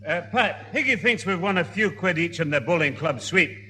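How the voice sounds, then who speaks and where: A man's voice: sits high, lively intonation, normal speed, sharp tone. An actor playing an easygoing family father in a 1970s radio comedy. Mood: hearty. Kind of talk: 1970s radio sitcom acting. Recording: reverberant, faintly noisy radio recording.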